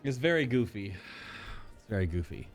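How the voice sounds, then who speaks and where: Male game streamer, low register, playful and informal, reads story text aloud over prominent music. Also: very animated, moderate pace. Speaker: gaming streamer. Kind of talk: live playthrough, reading dialogue aloud with casual reactions.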